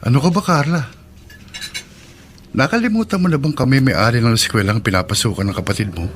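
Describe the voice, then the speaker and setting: A man's voice: medium pitch, brisk, a little hiss or hum, lightly acted. An actor playing a wealthy, generous young man in a radio drama, easygoing and teasing.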